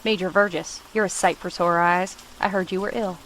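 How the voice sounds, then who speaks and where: A woman's voice: lowish voice, steady tone, a little fast, fairly bright tone, a little hiss or hum, close to an everyday voice, rough recording. An actress playing a stern female army colonel who gives firm, clipped orders. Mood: commanding.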